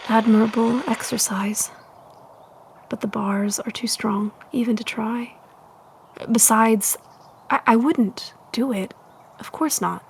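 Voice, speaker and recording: An actress playing a confined young wife sliding into obsessive madness, confiding in hushed and singsong tones in a found-footage audio drama. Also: female voice, on the high side. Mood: simmering, restrained. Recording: noisy lost-tape recording.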